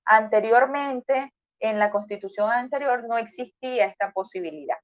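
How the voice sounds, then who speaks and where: A woman's voice: on the high side, normal speed. A woman with a Venezuelan accent, a university lecturer giving an online class and explaining points to her students with everyday examples. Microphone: voice chat on a phone or computer. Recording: dull lo-fi voice chat audio.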